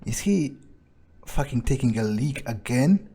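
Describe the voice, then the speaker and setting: A man with a husky voice improvising in-character commentary on a game, casual and sweary as he thinks aloud. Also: mid-range voice, lively intonation, a little slow, warm tone. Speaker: gamer playing in character.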